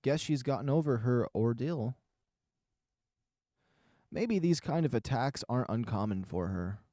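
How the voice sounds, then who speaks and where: A man's voice: medium pitch, lively intonation, unhurried, mellow, no echo, clean studio sound. A man reading scripted first-person narration from a visual novel.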